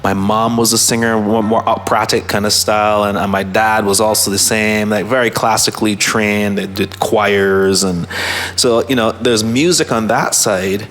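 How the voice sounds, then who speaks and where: A man from Barbados, a guest musician, talking in a relaxed, reflective way, halting with many restarts and fillers. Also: on the low side, a little hiss or hum. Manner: halting, full of restarts and "you know, like".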